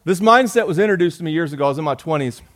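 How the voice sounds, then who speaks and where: A man, preaching a sermon in a folksy, joking way, rising loud and dropping soft. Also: a little high, lively intonation, racing. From Georgia. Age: 40s. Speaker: pastor.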